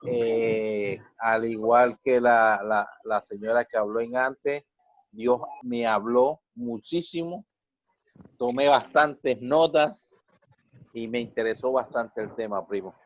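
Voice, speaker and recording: A man from Panama, a church member thanking the pastor in an unhurried way over a video call. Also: mid-range voice, no room sound. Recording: dry, dull call audio.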